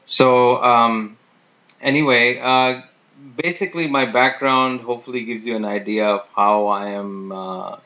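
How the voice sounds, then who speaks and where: A man in his fifties from India introducing himself as a real estate professional, talking unhurriedly with many fillers and pauses. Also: mid-range voice, fairly even intonation, bright, forceful tone. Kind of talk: self-introduction monologue. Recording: dull lo-fi sound.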